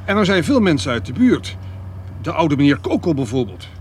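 An actor with a Netherlands Dutch accent playing a caring but strained adult son, coaxing in a radio play. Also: male voice, fairly high pitch, very animated, brisk, darkish tone, a little hiss or hum. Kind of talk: naturalistic radio drama dialogue.

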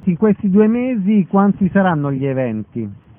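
Male radio interviewer, fairly high register, asking questions. Phone-like, band-limited sound with some noise. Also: sing-song, normal speed.

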